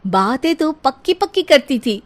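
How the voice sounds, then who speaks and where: A woman's voice: high voice, big pitch swings, moderate pace. A woman narrator telling a scripted comic family tale. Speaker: story narrator.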